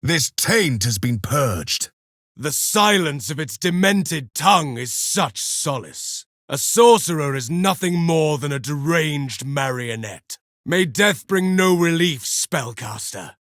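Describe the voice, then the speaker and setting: A man's voice: a little high, lively intonation, takes it slowly, a bit bright, no echo, studio quality. An actor playing a grim, pious armored male super-soldier, declaiming solemn insults over slain foes in a video game. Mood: grim contempt. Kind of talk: video game combat voice lines.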